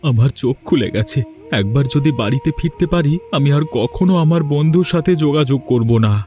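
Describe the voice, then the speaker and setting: Man as a distressed stranded village man, mid-pitched. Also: lively intonation, a little fast, mellow, faint music behind, close to an everyday voice. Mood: grateful, hurt. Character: distressed, grateful stranded village gold seeker. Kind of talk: Bengali moral-tale cartoon dubbing.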